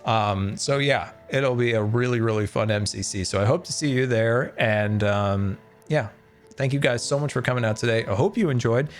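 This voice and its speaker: A man chatting casually on a game live stream, excitable and talkative, thanking his viewers as he plays. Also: mid-range voice, moderate pace, soft background music.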